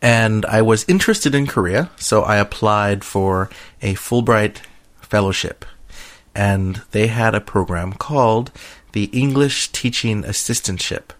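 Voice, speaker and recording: A middle-aged American man hosting a casual conversational podcast, talking in a hesitant, halting way. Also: fairly low pitch. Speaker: podcast host.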